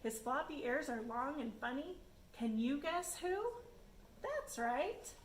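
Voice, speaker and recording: High-voiced woman reading slowly, echoey room. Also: expressive. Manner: addresses children, pauses for guesses. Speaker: reader of a children's picture book. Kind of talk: rhyming storybook read-aloud. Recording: echoey room, background noise.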